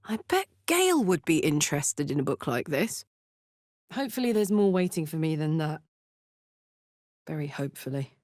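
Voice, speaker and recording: A woman's voice: sits low, very animated, moderate pace, pauses often, darkish tone, dry recording, clean studio sound. An actress playing a brash, big-hearted tiefling warrior woman in a video game, with a blunt, informal manner that turns from playful to tender. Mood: wry, musing. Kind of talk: video game character voice lines.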